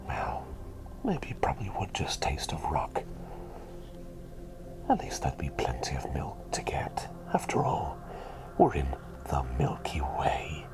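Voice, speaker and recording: A man playing a gentle, doting plague doctor in a soothing whispered ASMR roleplay, attentive and softly playful. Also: a bass voice, heavily acted, low-quality recording.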